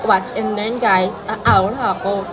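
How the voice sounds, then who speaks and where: A young Filipino woman playing a vain, sassy, flamboyant high school student in an amateur radio drama, campy and slangy. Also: fairly even intonation, slow, background noise, lightly acted. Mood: vain, playful. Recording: crowd chatter behind the voice.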